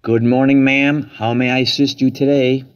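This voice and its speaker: A man plays a brusque clerk at a ticket office in a radio drama. His pitch is middling and his manner formal and firm. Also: little pitch movement, moderate pace, smooth, dull audio, lightly acted. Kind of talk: radio play acting.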